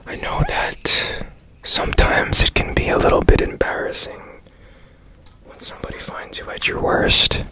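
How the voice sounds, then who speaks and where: A man as a whispering, mysterious, slow-talking repairman. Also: natural voice, poor audio. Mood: hushed, curious. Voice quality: breathy whisper. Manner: slow, hushed, polite, halting. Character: strange, secretive, curious repairman, gently reassuring. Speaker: ASMR roleplay performer. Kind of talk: whispered ASMR roleplay.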